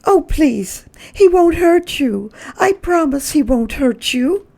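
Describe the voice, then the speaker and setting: A woman's voice: sits high, animated, slow pace, mellow, pitched above the performer's own voice, heavily acted. A woman voicing a kindly, fussy mother and hostess in a storybook, polite and exclamatory in a sing-song way. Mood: alarmed, reassuring.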